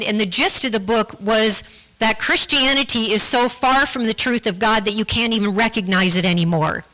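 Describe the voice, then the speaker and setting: Earnest bright-voiced woman giving a testimony. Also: on the high side, rough recording. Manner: earnest, with frequent restarts and repeated words. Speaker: guest speaker giving a testimony. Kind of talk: personal testimony in church. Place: church.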